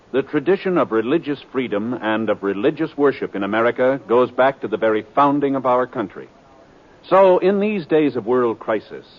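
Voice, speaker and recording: A man reading a public service message and the program credits as a radio announcer, in a measured, formal delivery. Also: mid-range voice. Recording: dull old radio transcription with theme music.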